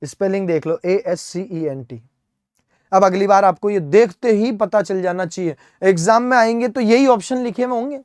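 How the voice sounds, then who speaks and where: A man's voice: sits high, normal speed, a little mellow, clear, resonant tone, no room sound, studio quality. Indian man teaching English vocabulary. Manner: explains step by step, spells words letter by letter, keeps asking "है ना?". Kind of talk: exam-prep vocabulary lesson.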